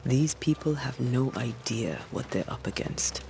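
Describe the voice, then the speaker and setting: Gravelly, terse, weary ghost hunter. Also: male voice, medium pitch, lively intonation, measured pace, background noise. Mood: bitter, weary. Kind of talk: dramatic video game cutscene acting.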